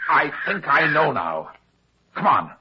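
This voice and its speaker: A man acting a quick-witted, take-charge private detective in an old-time radio drama, clipped and commanding as he explains his deductions. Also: a little high, sing-song, slight background noise, exaggerated voice. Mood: urgent. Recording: dull, muffled old radio drama recording.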